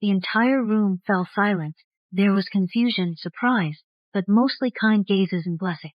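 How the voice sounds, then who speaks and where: A woman's voice: mid-range voice, measured pace, no room sound, highs cut off. A woman narrating a scripted story in the style of an audiobook. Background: faint music.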